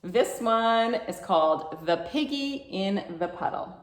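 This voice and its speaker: A woman's voice: medium pitch, expressive, takes it slowly, echoey room. A woman hosting a children's storytime, reading a picture book aloud in a playful, rhythmic, emphatic way. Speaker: children's library storytime host.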